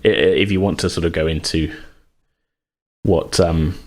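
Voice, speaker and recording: A man's voice: sits low, fairly even intonation, moderate pace, darkish tone. A man narrating as game master of a tabletop roleplaying game in a conversational, improvised, hesitant way. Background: background music.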